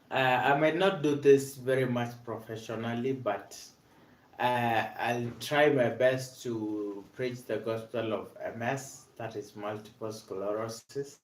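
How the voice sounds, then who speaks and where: A young Kenyan man with a Kenyan accent, telling his own story about living with multiple sclerosis in an earnest, halting way full of "basically" and "uh". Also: medium pitch, a bit bright. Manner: earnest, repeats "basically" and "uh", frequent restarts. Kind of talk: personal awareness vlog, storytelling. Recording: echoey room.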